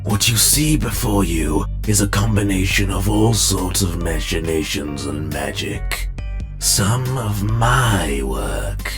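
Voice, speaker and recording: An actor playing a scarred, half-machine mad scientist killer in a comic dub, speaking with smug, theatrical menace. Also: male voice, low-pitched. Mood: sinister, proud. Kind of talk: scripted comic dub villain monologue.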